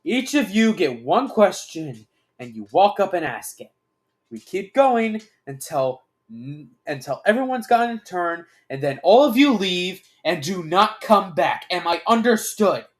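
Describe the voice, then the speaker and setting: A man's voice: high voice, sing-song, measured pace. A man voicing a hot-tempered, wary alien man in a storybook reading, commanding and exasperated. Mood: firm, commanding.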